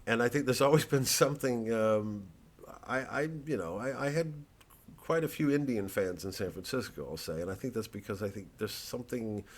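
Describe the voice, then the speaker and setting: A man, a satirical comedian, rambling casually and thoughtfully in a halting, digressive monologue with some swearing. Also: fairly low pitch. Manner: halting, ruminative, digressive, profane.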